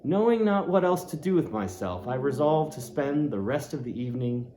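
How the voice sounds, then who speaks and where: A man giving a dramatic, expressive reading of a classic novel, shifting his voice for effect. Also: medium pitch, normal speed. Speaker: audiobook reader. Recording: roomy echo, faint ambient noise.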